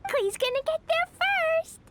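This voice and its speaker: A woman's voice: very high-pitched, animated, unhurried, heavy background noise. A woman voicing a cheerful, boisterous little girl in a video game, with eager, childlike, simple delivery. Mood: gleeful. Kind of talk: animated game character acting.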